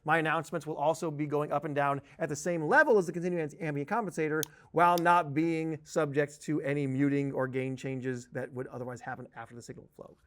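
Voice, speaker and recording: Higher-voiced man teaching a software tutorial. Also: fairly even intonation, fast talker, few pauses. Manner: explanatory, cautionary, stressing key words. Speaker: software training instructor. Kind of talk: conversational tutorial narration over a software demo.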